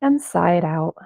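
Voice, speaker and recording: A woman leading a guided meditation in gentle, unhurried phrases with long pauses between them. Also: on the low side, dry recording. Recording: band-limited, phone-like sound, dry.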